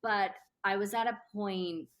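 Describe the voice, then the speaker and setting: A woman in her thirties from Sri Lanka, answering interview questions in a measured, thoughtful way with frequent "uh" pauses. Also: medium pitch, fairly even intonation. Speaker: company founder, guest.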